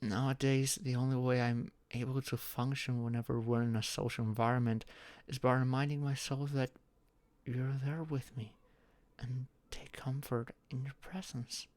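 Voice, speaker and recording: A young man playing a shy, anxious friend in an intimate audio roleplay, speaking haltingly with sighs and murmurs. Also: mid-range voice, little pitch movement, a little mellow, no echo, natural voice. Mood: sheepish, tender. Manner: halting, sighing, breathy murmurs rising to tearful outbursts.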